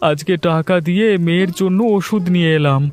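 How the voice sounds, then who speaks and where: A man's voice: high voice, a little fast, darkish tone, smooth, lightly acted. A man playing a poor, devoted village father in a cartoon, earnest and full of guilt. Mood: sad, earnest. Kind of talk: Bengali cartoon voice acting.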